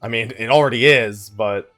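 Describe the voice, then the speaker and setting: A man's voice: medium pitch, moderate pace, fairly bright tone, soft background music. A man hosting a let's play with casual gaming commentary, thinking aloud and muttering asides to himself.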